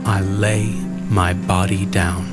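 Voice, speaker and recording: Loud music sits under an exhausted, haunted man. His voice is on the low side and he moves very slowly through short, deliberate phrases, as the weary narrator of a bleak horror audio drama. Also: flat delivery. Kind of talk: first-person horror audio drama monologue.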